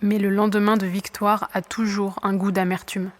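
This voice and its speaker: A woman's voice: mid-range voice, little pitch movement, normal speed, lightly acted. A woman playing an embattled, doubtful young athlete in a French audio drama, earnest and colloquial. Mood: melancholy, reflective. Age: young adult. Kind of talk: naturalistic French audio fiction acting.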